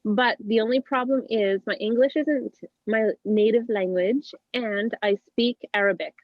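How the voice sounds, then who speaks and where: A woman co-hosting a talk show, reading viewer questions aloud in a plain, measured way. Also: on the high side, dry recording. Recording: dull, dry lo-fi sound.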